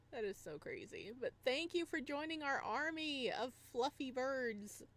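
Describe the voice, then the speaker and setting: A woman's voice: high voice, fairly bright tone. A bubbly, giggly woman hosting a livestream, chatting casually with viewers and reading a visual novel aloud. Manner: bubbly, giggly, rambling reactions to chat and story. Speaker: livestreamer and host.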